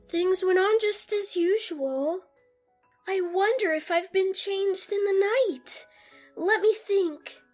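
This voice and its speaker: A woman acts out a storybook little girl with a very high, slow voice. She is bewildered and earnest, musing aloud and chiding herself, with music playing behind her. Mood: puzzled. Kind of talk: audiobook character acting.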